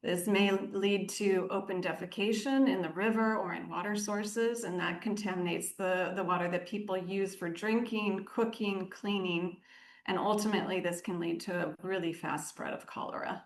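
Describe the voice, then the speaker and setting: A woman giving measured, articulate explanations as an aid director interviewed on the radio. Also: mid-range voice, steady tone. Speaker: aid organisation country director, interview guest. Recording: roomy, echoing sound.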